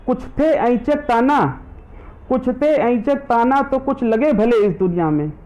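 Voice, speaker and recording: Brief: a high-voiced man, a poet and teacher, reading humorous couplets live and greeting viewers between them. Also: measured pace, a little mellow, lo-fi sound. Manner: repeats each verse line, chants devotional verses. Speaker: government teacher. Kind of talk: live online poetry recitation.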